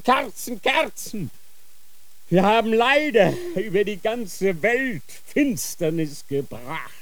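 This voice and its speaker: A man acting a dying old Grand Inquisitor in a radio drama, dictating slowly and solemnly in a feverish state. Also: fairly high pitch, dry recording. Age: older. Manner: slow, solemn dictating with sudden feverish outbursts.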